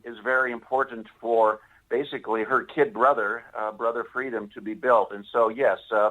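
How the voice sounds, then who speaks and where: An American man speaking as an interview guest, with formal, deliberate, oratorical phrasing in an earnest tone. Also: medium pitch, fairly bright tone, rough recording. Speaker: author and interview guest promoting a monument project. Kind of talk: earnest live interview talk.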